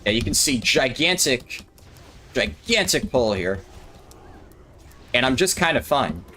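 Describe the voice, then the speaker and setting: A man of average pitch talks casually over his gameplay on a livestream. He thinks aloud, wanders between points and answers chat questions as they come in. His voice carries a slightly tired edge. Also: very animated, a little slow, pushed and bright, a little hiss or hum. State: maybe tired or sore throat. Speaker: streamer. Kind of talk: casual livestream commentary while gaming.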